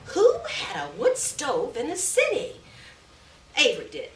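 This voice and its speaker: A woman reading a literary short story aloud at a live event, with measured, deliberate phrasing and stressed words. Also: high-pitched, huge pitch swings. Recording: distant roomy live recording, faint noise.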